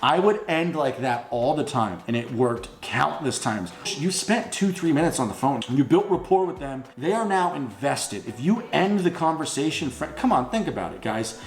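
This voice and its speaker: A man giving an informal sales coaching talk, blunt and emphatic, slipping into sample phone pitches. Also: lively intonation, moderate pace, few pauses. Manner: blunt, emphatic, salesman-like, addresses listeners as guys. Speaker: instructor teaching phone sales for junk removal. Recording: roomy echo with music underneath.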